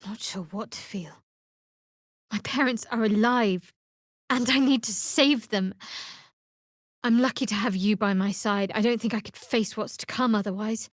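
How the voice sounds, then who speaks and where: A woman playing a guarded, sardonic young cleric of a dark goddess in a video game, with dry, wry delivery. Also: mid-range voice, a little slow, pauses often, no room sound, lightly acted. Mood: earnest, heavy. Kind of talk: video game character voice acting.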